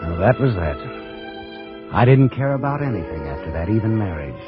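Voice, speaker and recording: A man's voice: lowish voice, sing-song, normal speed. An actor playing an anxious, guilty American businessman in an old radio suspense drama, speaking in a tense, clipped, confessional way. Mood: bitter, brooding. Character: guilty, anxious businessman narrating his own crime, cornered husband. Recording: muffled vintage radio recording with music.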